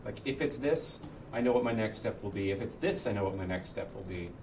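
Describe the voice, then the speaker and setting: A man's voice: on the low side, brisk, noisy background. A man on a livestream panel giving casual, encouraging advice, picked up from a distance. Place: indoor livestream studio. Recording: distant from the microphone. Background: music in the background.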